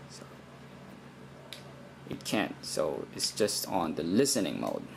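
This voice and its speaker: A man's voice: very deep voice, slow pace, gravelly. A man giving an unscripted, hands-on technical demonstration, thinking aloud with hesitant phrasing. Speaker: radio programming demonstrator. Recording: noisy amateur recording, steady background noise.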